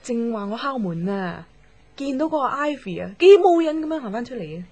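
High, lively gossipy office woman. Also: fairly quick, slightly rough. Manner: animated, blunt, chatty. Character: gossipy, bossy, exasperated female office colleague. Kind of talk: Cantonese radio drama acting.

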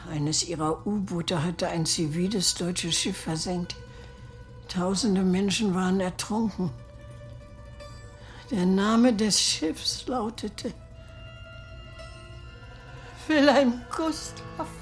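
An actress playing a grieving war survivor in a radio play, confiding guilt and secret love in measured, halting phrases that often sink toward a whisper. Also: female voice, medium pitch, faint music behind, natural voice. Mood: bleak, subdued. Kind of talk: dramatic first-person radio play monologue.

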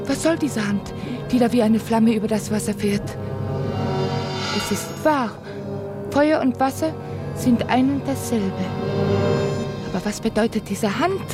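An actress playing an enigmatic, fearful, visionary woman in a literary radio drama, dreamy and halting, full of questions. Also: a little high, loud music behind the voice, natural voice. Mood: anxious, transfixed.

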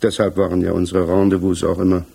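An actor in a 1960s German radio play, playing an accused married village mechanic who answers earnestly, evasively and haltingly. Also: male voice, sits low, level tone, creaky, mellow, slightly rough, exaggerated voice. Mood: hesitant, explaining. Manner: hesitant, halting, defensive.

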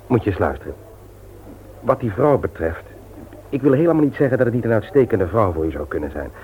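An actor in a radio play, playing an earnest family man who speaks frankly and in a measured way. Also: on the low side, sing-song. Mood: earnest, admonishing. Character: Willem, earnest frank friend, husband and doting father. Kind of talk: Dutch radio play acting. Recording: tinny, noisy old radio play recording.

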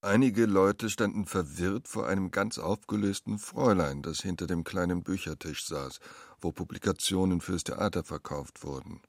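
A man's voice: deep voice, normal speed, no echo, studio quality. A man delivering scripted dramatic narration in a radio play.

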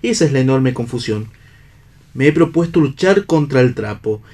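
A man's voice: mid-range voice, lively intonation, normal speed, a little mellow, lightly acted. Actor doing a preachy idealist worker guy, medium voice, speechy radio play style. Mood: preaching, resolute. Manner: declamatory, sententious. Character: idealistic man in worker's clothes, preachy philosopher. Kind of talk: read radio theatre.

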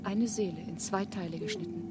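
A woman playing hushed, intense young wife. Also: mid-range voice, big pitch swings, brisk, over music, close to an everyday voice. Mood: urgent. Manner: intense, often hushed and whispering. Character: Eva, young wife torn between two men. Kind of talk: German radio play acting.

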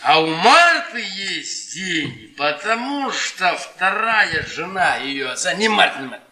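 An actor playing a sardonic, beaten-down middle-aged history professor in a radio play, needling with mocking, hesitant phrasing. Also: male voice, high-pitched, big pitch swings, unhurried, bright, forceful tone, exaggerated voice. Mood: playful, ironic. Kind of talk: radio theatre stage acting. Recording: echoey room, audience noise behind.

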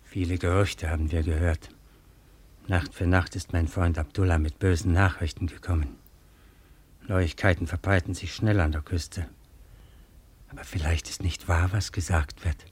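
Deep, husky, sly old statesman. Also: steady tone, a little mellow. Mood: measured, suspicious. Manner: measured, insinuating. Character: sly, wary older male statesman. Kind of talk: German radio drama acting.

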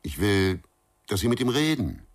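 Low-voiced weary former policeman. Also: sing-song, moderate pace. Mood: terse, dogged. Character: weary, dogged former policeman searching for a boy. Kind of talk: radio crime drama dialogue.

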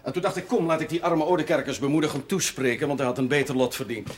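An actor playing a worldly, teasing country priest with a wordy, self-assured manner in a television drama. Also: male voice, on the high side, quick pace, slight background noise, natural voice. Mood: wry, teasing. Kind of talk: naturalistic TV drama dialogue.

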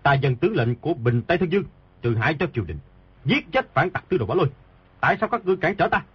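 A woman's voice: deep voice, sing-song, fast talker, pitched below the performer's own voice. A woman voicing a proud, stubborn male guard in a lo-fi audiobook. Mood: commanding.

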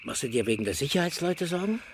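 Precise retired explosives expert. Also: male voice, fairly high pitch, sing-song, quick pace, slight background noise. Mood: calm, informative. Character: fussy, methodical retired male explosives expert selling weapons. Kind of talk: German crime radio drama acting.

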